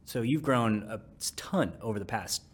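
A middle-aged man hosting a podcast, asking questions in a relaxed, conversational way. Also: fairly low pitch, normal speed, slightly rough. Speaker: podcast host. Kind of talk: casual interview hosting.

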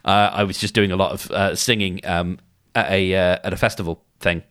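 A man with a hoarse, somewhat low voice hosts a solo podcast in a relaxed, chatty way. He thinks out loud and often doubles back mid-sentence. He speaks close to the microphone in a dry, dead room. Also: a little fast, no echo. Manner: chatty, thinking aloud with frequent restarts. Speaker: podcast host. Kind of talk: casual solo podcast advice.

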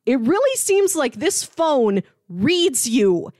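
A woman in casual, animated conversation as a podcast host, talking through a TV review with loose, conversational phrasing. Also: sits high, slow, dry recording, clean studio sound.

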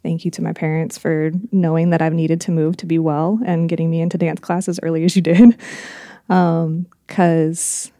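A woman co-hosting a podcast in relaxed, reflective conversation. Her voice sits a little low with a gravelly edge and a mellow, warm tone. The sound is dry and close, and she often pauses to search for the right words. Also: dry recording. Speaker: podcast co-host. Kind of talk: casual conversational podcast chat.

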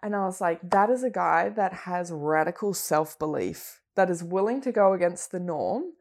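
A woman's voice: on the low side, expressive, moderate pace, a little mellow. A woman hosting a podcast interview, enthusiastic and often laughing. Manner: enthusiastic, laughing, with upbeat fillers. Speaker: podcast host. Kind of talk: casual interview.

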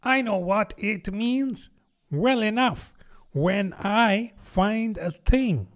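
A man's voice: sits high, very slow, pitched above the performer's own voice, full character voice. A man voicing a pert, argumentative, literal-minded duck in a children's storybook.

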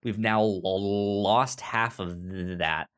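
A man's voice: lowish voice, steady tone, takes it slowly, a bit bright. A young man co-hosting a casual movie discussion, stammering and often repeating sounds and words as he talks. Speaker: podcast co-host.

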